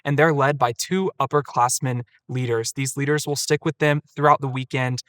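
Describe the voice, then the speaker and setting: Young male student guest; orderly, explanatory, mid-pitched, dry recording. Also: fairly even intonation, moderate pace, a bit bright, dry recording. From Atlanta, Georgia. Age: young adult. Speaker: student event coordinator. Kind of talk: interview answers.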